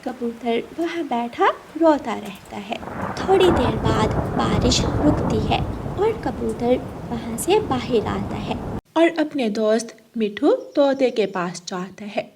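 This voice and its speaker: A woman narrates a scripted cartoon story for children. Her voice is a little high and she takes her time, with rain and thunder noise clearly audible behind her. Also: animated, slow pace.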